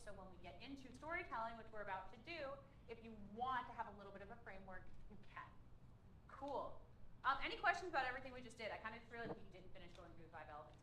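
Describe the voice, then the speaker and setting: A woman's voice: medium pitch, noisy background. A woman leading a public speaking workshop, upbeat and chatty with plenty of fillers. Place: meetup room with a group.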